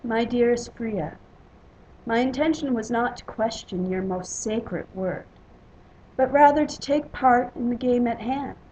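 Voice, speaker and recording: A woman acts out a sly male trickster god from a storybook myth. He is smug and insinuating, drawing his words out in a slightly high voice. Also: a little slow, mellow, dull audio, a little hiss or hum, lightly acted. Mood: falsely apologetic.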